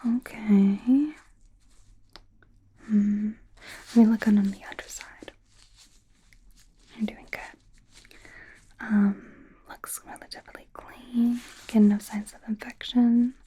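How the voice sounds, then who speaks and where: A woman voicing an awkward, gentle werewolf doctor in a hesitant, breathy whisper for an ASMR roleplay. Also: a little high, steady tone, a little hiss or hum. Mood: gently clinical. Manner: hesitant, halting, softly reassuring. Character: awkward, curious young female werewolf doctor, gentle and apologetic. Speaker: ASMR performer.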